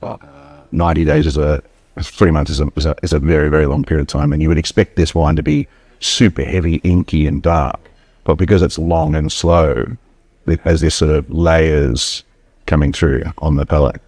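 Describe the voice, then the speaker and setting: A man's voice: a bass voice, steady tone, moderate pace, darkish tone, dry recording. A middle-aged Australian man with a slight mixed accent, chatting casually as a guest, rambling with many ums and restarts. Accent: Australian, with slight Afrikaans and southern British touches. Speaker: wine importer guest. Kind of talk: casual interview chat. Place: hotel room.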